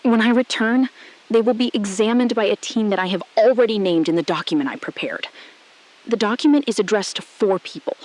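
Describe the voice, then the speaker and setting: A woman playing a calm, resolute astronaut-scientist in an audio drama, speaking in precise, measured, clipped sentences. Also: mid-range voice, close to an everyday voice, low-quality recording. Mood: controlled, resolute. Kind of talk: scripted audio drama acting.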